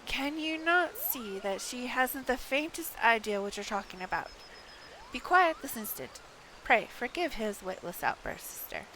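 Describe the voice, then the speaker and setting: A haughty, sharp-tongued woman from a fantasy game, scolding in an imperious tone, as a woman reads her lines. Her voice is raised and clipped, and she talks down to the people around her. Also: fairly high pitch, sing-song, measured pace, slight background noise, voice pushed up, exaggerated voice.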